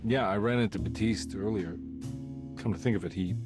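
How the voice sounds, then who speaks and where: Male actor as gruff tired detective, lowish voice, dry, casual, noir game, music behind. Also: a little fast, natural voice. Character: gruff, weary male private detective, dryly stubborn. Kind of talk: noir video game acting.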